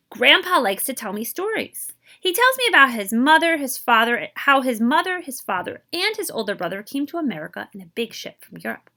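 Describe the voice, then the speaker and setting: Bright, animated woman reading a picture book. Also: high voice. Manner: animated, emphatic delivery for young listeners; switches between English and Spanish. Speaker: librarian reading a children's book aloud. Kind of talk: expressive picture-book read-aloud with translations and asides.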